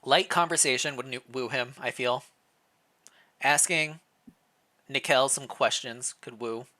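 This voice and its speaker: Bright-voiced man hosting a livestream. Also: medium pitch, measured pace. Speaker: streamer, host reading fan fiction. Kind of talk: casual livestream chat with dramatic read-aloud narration.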